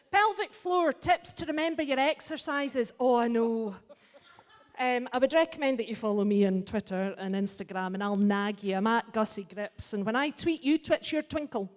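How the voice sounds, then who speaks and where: A woman's voice: on the high side, normal speed. Cheeky woman chairing a panel. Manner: cheeky, frank and jokey. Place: large hall at a festival. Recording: reverberant hall.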